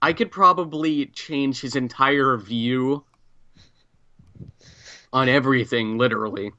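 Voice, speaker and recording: Mid-pitched male gamer, dulled recording. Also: takes it slowly, sharp tone, muffled recording. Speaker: tabletop game player. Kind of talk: casual chat.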